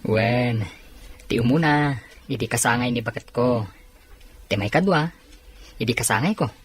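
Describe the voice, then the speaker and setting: A man's voice: mid-range voice, moderate pace, breathy edge, natural voice. An actor playing a hospitable married man in a radio drama, hearty and insistent with his visiting friend. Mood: hearty teasing.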